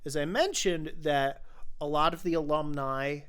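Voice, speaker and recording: Podcast host, mid-pitched and clean-voiced, casual and explanatory film chat. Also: normal speed, fairly bright tone, smooth.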